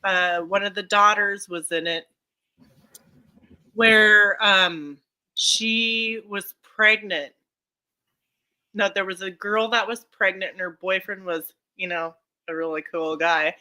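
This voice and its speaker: A woman chatting casually on a livestream, talkative and quick to laugh. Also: medium pitch, steady tone, sharp tone, clean tone. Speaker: livestream co-host. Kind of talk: casual chat.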